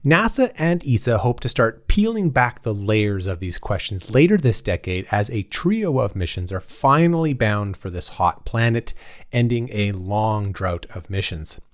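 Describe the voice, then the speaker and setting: A man's voice: lowish voice, sing-song, unhurried. A man hosting a podcast, reading a scripted intro and then chatting eagerly with a guest. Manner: eager, with many fillers and restarts in chat. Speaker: podcast host. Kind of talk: scripted intro, then casual interview chat.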